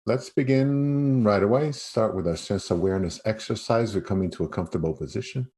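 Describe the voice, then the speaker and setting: A man leading a guided meditation as a yoga nidra teacher, speaking in an unhurried way with spacious pauses. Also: lowish voice, warm tone.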